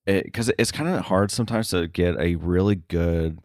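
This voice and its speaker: A man talking casually as an interview guest, halting often and correcting himself as he goes. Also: sits low, darkish tone, no echo, studio quality. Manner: halting, filler-heavy, self-correcting. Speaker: podcast guest, sound editor.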